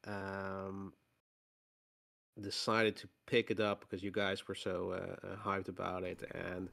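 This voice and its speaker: A man co-hosting a gaming podcast, hoarse from a cold, talking casually with many fillers and restarts. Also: low-pitched, completely flat delivery, slow, fairly bright tone. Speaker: podcast co-host. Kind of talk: casual chat.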